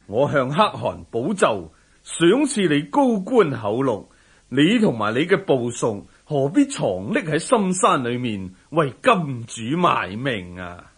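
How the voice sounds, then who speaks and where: A hearty Mongol prince and commander in a historical radio drama. He speaks slowly and grandly in a husky voice that swings widely in pitch, magnanimous and sure of his power. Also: very animated, heavily acted. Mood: persuasive, magnanimous. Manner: hearty, unhurried, laughing boastfully.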